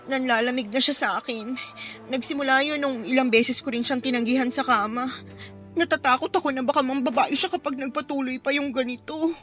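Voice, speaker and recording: High, bright, exasperated wife. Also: female voice, steady tone, fairly quick, faint music behind. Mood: remorseful, firm. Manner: emphatic, reproachful. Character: frustrated, ambitious, guilt-ridden wife and mother of modest means. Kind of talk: Filipino radio drama acting.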